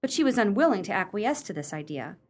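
A woman's voice: mid-range voice, big pitch swings, fast talker. A woman acting a sceptical, genteel lady and loyal sister in a radio play, formal and arch.

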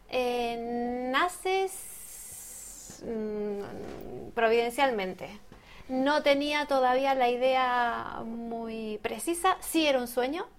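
A woman co-hosts an informal podcast in a fairly high voice. She talks off the cuff, searching for her words and often repeating a phrase before moving on. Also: gravelly, slight background noise. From Argentina. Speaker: bookseller and podcast co-host. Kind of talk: casual unscripted podcast chat.

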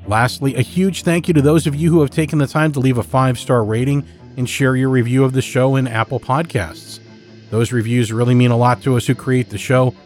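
A man's voice: medium pitch, a little mellow. A man hosts a horror fiction podcast with scripted narration. His voice sits in a middle register, with light music playing behind it. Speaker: podcast host. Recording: music bed under the voice.

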